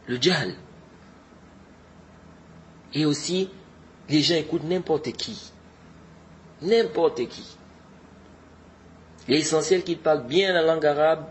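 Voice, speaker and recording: An earnest man teaches religion in a slightly raised voice. He drives his points home by repeating them and sprinkles in Arabic terms. A faint hiss sits under the recording. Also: fairly high pitch. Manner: repetitive, exhorting, mixes in Arabic religious terms. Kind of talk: informal religious lesson.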